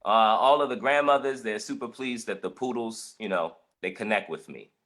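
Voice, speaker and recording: A man's voice: on the low side, normal speed, fairly bright tone, lightly acted. A man voicing an easygoing male rapper and dog walker with a Canadian accent, raised by wolves, who keeps saying "eh". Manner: says "eh" constantly, laid-back. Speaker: guest musician.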